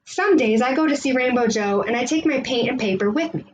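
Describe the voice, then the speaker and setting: Lively high-pitched woman reading a storybook. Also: brisk, a little hiss or hum, poor audio. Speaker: read-aloud storyteller. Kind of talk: expressive children's picture book reading.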